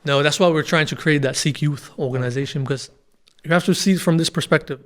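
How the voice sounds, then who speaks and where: Soft-trailing man in his thirties. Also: a little high, fast talker. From Denmark. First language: Punjabi. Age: 30s. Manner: thoughtful, frequent fillers, trails off softly. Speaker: guest author. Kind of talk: casual conversational interview.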